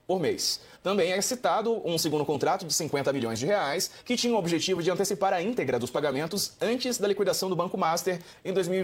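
Male news reader, husky voice, rapid steady read. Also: high voice. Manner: brisk, steady report delivery. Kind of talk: reading a news report.

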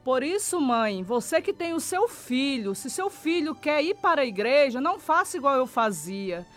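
A middle-aged Brazilian woman from São Paulo state speaks in a high voice over background music. She gives a personal church testimony, earnest and emphatic, repeating phrases for stress and addressing the listener directly. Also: big pitch swings, normal speed, a bit bright. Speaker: church member giving testimony.

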